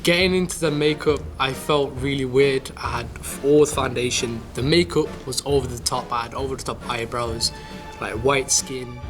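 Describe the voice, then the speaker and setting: A man's voice: mid-range voice, steady tone, normal speed, over music. A teenage boy, a drama student, informally explaining his stage role.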